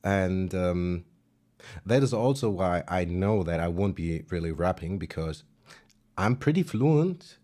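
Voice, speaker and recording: A man with a German accent talks through his livestream in a loose, rambling way. His voice sits fairly low, and he often pauses on fillers and pokes fun at himself. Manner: relaxed, self-deprecating, rambling with fillers. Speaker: livestream musician host. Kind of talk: casual livestream chat.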